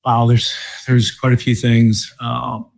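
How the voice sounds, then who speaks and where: A man from Michigan speaking as a guest in a casual interview, relaxed and thoughtful, with many fillers and restarts. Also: medium pitch, takes it slowly, gravelly. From Michigan, USA. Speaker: guest, corporate mobility director.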